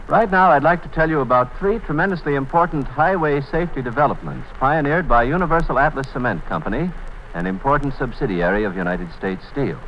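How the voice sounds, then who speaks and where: A man announcing for the sponsor, reading a commercial in an earnest, steady manner. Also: mid-range voice, brisk, a little hiss or hum. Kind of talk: read commercial. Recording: dull lo-fi 1950s radio broadcast.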